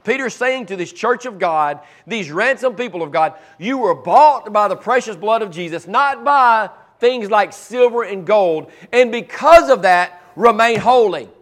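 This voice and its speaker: Male pastor, high and raspy, fervent, with repeated emphatic lines. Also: animated, unhurried, few pauses, a bit bright. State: raspy voice from cheering and allergies. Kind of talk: preaching a sermon.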